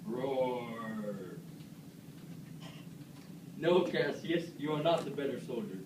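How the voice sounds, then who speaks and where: A man's voice: medium pitch, lively intonation, slow pace. A man playing Brutus, a stern, self-righteous Roman leader, in an amateur puppet-show parody, declaiming accusingly. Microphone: distant camera microphone. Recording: echoey noisy room, far from mic.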